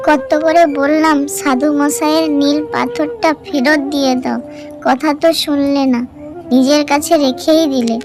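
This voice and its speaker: Zamindar's wife, cartoon, female actor: high, melodramatic, sorrowful, clear music underneath. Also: steady tone, moderate pace. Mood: reproachful. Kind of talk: Bengali cartoon story dialogue. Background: background music.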